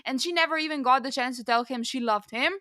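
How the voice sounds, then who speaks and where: Woman, podcast co-host, slightly high pitch, bright tone, dry sound, informal, opinionated, casual book chat. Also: fast talker, no room sound. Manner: informal and opinionated, peppers speech with "like".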